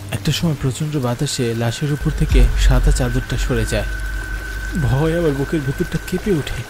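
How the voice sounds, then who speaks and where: A man giving dramatic, scripted first-person narration of a horror story in a theatrical, earnest manner. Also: a little high. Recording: clear rain noise behind the voice. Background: falling rain.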